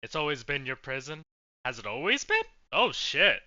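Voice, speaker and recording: A man's voice: medium pitch, very animated, normal speed, sharp tone, no echo, highs cut off. A man casually commentating while playing a horror game, jokey and swearing often. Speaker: let's play streamer.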